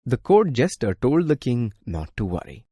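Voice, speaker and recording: A man's voice: mid-range voice, big pitch swings, normal speed, warm tone, breathy edge, no room sound, clean studio sound. A man reading a scripted bedtime story for children as its narrator.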